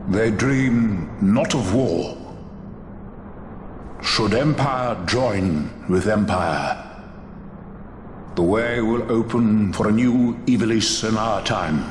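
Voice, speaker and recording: An actor playing a solemn, aged high priest in a video game, speaking gravely like an oracle. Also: male voice, noisy background. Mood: serene. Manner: slow, grave, oracular. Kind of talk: scripted video game cutscene acting. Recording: echoing long reverb, music behind.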